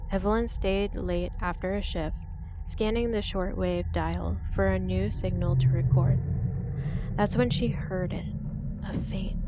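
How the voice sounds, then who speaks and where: A woman narrating a scripted suspense story in a measured, deliberate way, with short dramatic pauses. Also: medium pitch, very noisy.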